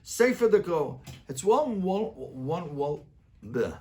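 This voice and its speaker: A man teaching an interactive Talmud class as a rabbi, reading the text and translating it phrase by phrase with animated repetition and rhetorical questions. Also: on the high side, slow pace.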